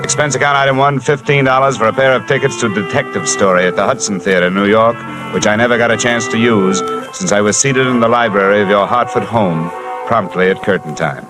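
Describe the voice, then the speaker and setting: A wisecracking, hard-nosed private insurance investigator voiced by a man from a vintage radio mystery. His voice sits slightly low, and he trades dry quips while loud music runs underneath. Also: fairly quick, natural voice, rough recording. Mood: matter-of-fact. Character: wry, tough male freelance insurance investigator. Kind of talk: 1950s radio drama acting and first-person narration.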